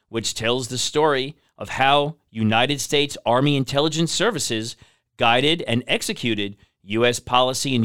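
A man's voice: medium pitch, fairly bright tone, dry recording, studio quality. A man hosting an interview podcast, upbeat and engaged as he introduces and questions his guest. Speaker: podcast host. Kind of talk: hosted interview.

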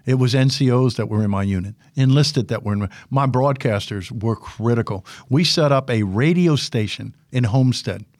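A man's voice: mid-range voice, normal speed, few pauses, no room sound. Older man with a big, dry voice. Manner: animated storyteller, repeats words and restarts often. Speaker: guest, former military public affairs officer and instructor. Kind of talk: casual podcast interview.

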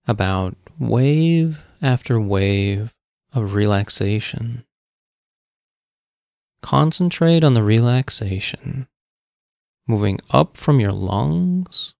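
A man guides a relaxation exercise at a very unhurried pace. His medium-pitched voice has a gravelly fry at the edges. He speaks in calm, measured phrases, leaving long gaps between them. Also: no echo, studio quality. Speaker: guided meditation coach. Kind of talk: guided meditation, slow soothing instruction.

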